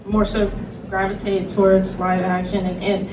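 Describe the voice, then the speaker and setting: A woman's voice: mid-range voice, flat delivery, moderate pace, background noise. A woman presenting informally as a convention panelist, with a hesitant, conversational manner full of "like". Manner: hesitant, conversational, says "like" often. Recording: echoey panel room, distant microphone, music.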